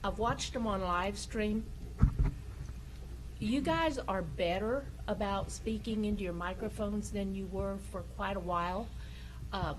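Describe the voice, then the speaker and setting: A woman's voice: medium pitch, a little slow. A woman, a local resident, speaking politely and directly into a podium microphone at a council meeting. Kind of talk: public comment at a meeting. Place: city council chamber. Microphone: podium microphone on a stand. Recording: noisy council meeting recording.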